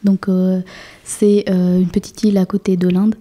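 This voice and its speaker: Young woman in her 20s, interview guest; monotone, hesitant, restarts a lot. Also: on the low side, moderate pace. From France. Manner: hesitant, many fillers and restarts. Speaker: guest, municipal councillor. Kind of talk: casual interview chat.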